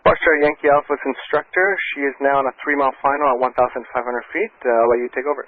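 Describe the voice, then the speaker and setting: A man working as an air traffic controller, giving calm, reassuring step-by-step instructions over aviation radio. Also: mid-range voice, quick pace. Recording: muffled, band-limited aviation radio transmission.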